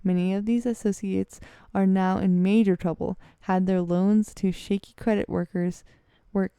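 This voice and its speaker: A woman's voice: medium pitch, vocal fry, dark tone, clean tone, dry recording. A woman reading a text aloud, stumbling over words and correcting herself as she goes. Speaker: vlogger reading a letter aloud.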